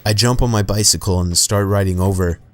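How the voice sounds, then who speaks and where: A man with a fairly low voice reads creepy true stories in a calm, steady, scripted way. Soft music runs quietly beneath his narration. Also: brisk. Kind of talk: scripted horror story narration.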